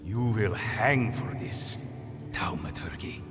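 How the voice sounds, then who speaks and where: An actor playing a proud, demanding and fearful emperor under siege in game dialogue, with an imperious, strained delivery. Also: male voice, on the low side, lively intonation, slow. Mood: defiant. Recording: long hall reverb, loud music.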